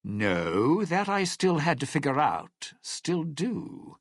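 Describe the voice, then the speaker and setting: Game role: smug, vengeful crooner-thief, low husky rasp, widely swinging sardonic delivery. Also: male voice, very animated, slow pace, no room sound, studio quality, heavily acted. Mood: irritated, brisk. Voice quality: husky, gravelly. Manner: sardonic, theatrical, sneering. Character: bitter, scheming male lounge singer and thief, smug and vengeful. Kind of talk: video game character dialogue.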